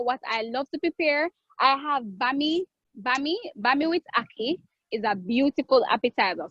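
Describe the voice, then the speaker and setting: A Jamaican woman chef answering viewer questions in a live online cooking class, chatty with drawn-out phrases and restarts. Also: high voice, dry recording, highs cut off. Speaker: guest chef.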